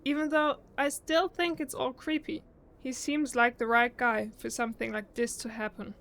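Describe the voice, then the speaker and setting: A woman's voice: fairly high pitch, flat delivery, normal speed, a bit bright. A woman playing an anxious, earnest young member of a girl group in an audio drama. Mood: earnest, uneasy.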